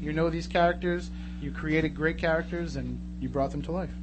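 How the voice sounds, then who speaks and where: Chatty male radio host, fairly high voice, casual live interview delivery, clearly noisy humming studio recording. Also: fairly even intonation, moderate pace. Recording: radio studio, loud noise and hum.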